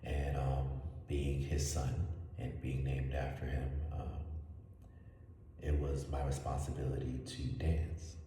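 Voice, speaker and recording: A man, an actor, introducing himself in a casual, unhurried way, hesitant, with frequent ums and soft laughs. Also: a bass voice, completely flat delivery, slight background noise. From Washington DC area, USA. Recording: echoey room, voice far from mic.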